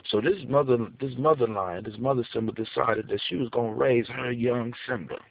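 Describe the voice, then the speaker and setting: A man's voice: medium pitch, brisk, gravelly. A man tells a folktale aloud in an improvised, dramatic way. He leans on key words and repeats phrases for emphasis. The sound is narrow like a phone line and quite dry. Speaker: storyteller. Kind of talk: improvised oral folktale telling for adults. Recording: echoey, muffled phone-like audio.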